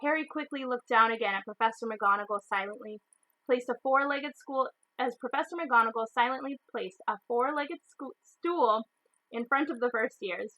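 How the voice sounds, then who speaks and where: A woman storyteller reading a children's novel aloud in expressive narration. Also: on the high side, normal speed, dry recording, rough recording.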